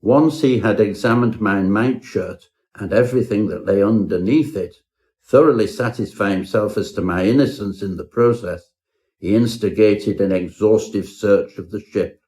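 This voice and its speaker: A male reader plays a self-important Edwardian gentleman scholar. His voice sits on the low side. He tells a mock-Victorian ghost story with florid, melodramatic relish, as an audiobook narrator. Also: moderate pace, natural voice. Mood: pompous, rueful. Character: pompous Edwardian professor narrating his own horror tale.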